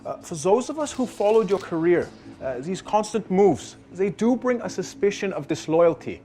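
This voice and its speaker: A sceptical sports reporter in a game cutscene. His voice is fairly high, his question formal, halting and pointed. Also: lively intonation, dark tone. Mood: probing. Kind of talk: video game cutscene acting, press conference question.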